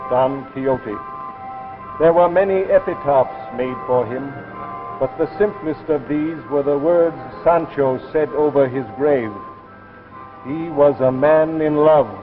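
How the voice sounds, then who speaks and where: A man's voice: medium pitch, steady tone, takes it slowly, phone-quality sound, natural voice. Man acting formal talking machine on thin vintage radio. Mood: solemn storytelling. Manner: formal, declamatory. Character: talking reading machine, bookish, turning imperious and defiant. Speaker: machine voice reading classic novels aloud. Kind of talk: 1950s radio drama, literary narration. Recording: thin band-limited 1950s radio, music behind.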